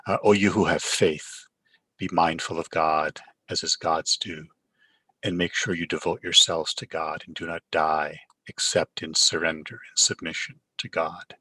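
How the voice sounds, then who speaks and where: A middle-aged man from Pakistan who grew up in Canada, with Urdu as his first language, giving a Friday sermon in a measured way, often pausing on "uh". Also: very deep voice, flat delivery, bright, forceful tone, breathy edge, no echo. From Pakistan, raised in Canada. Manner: measured, with frequent filler uhs and restarts. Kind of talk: sermon with Quran recitation.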